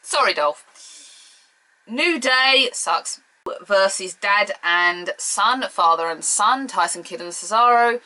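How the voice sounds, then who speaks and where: Bright, excitable British woman vlogging. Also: medium pitch, takes it slowly, rough recording. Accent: British English. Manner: excitable, chatty, playfully teasing. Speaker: wrestling preview vlogger. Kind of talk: casual opinionated chat to camera.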